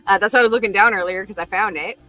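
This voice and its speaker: A woman's voice: mid-range voice, rapid-fire, bright, forceful tone, soft background music. A woman giving a casual motivational talk, animatedly acting out the doubting voices in a person's head. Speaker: speaker sharing a self-talk exercise.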